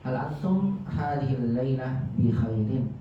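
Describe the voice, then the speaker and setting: A man's voice: medium pitch, animated, measured pace, slight background noise. Man teaching in an echoey mosque. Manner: formal, recites Arabic prayers between Indonesian. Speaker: Arabic teacher. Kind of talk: religious class opening with prayers. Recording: echoey room, distant mic, muffled.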